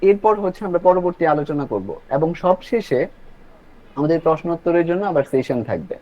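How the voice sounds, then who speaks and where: A man with a somewhat high voice presents an online session. He explains step by step and speaks straight to his listeners. The call audio is a little muffled. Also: sing-song, a little fast. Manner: instructive, addresses listeners directly. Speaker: webinar host and presenter. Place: online video meeting.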